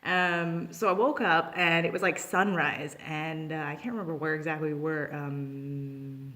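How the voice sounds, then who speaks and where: A woman's voice: low-pitched, fairly even intonation, unhurried, fairly bright tone. A young woman telling a personal story in a casual, unscripted vlog style, chatty and giggly, slipping into acted-out dialogue. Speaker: storytelling vlogger.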